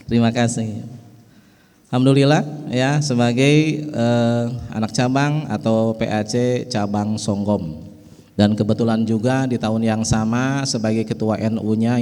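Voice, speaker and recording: Middle-aged man, Betawi accent, folksy ceremony speech, mellow tone, hall echo. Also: mid-range voice. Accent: Betawi and West Java Indonesian. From West Java, Indonesia. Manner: folksy, with frequent "ya" asides. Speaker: speaker at an organization event. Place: large hall.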